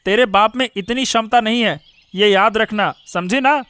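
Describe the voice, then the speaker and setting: A man's voice: sits high, normal speed, pushed and bright, clean tone, no echo. A man voicing an irritable, poor village father in a cartoon story, brusque and dismissive. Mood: irritated, dismissive. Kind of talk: Hindi moral-story cartoon dubbing.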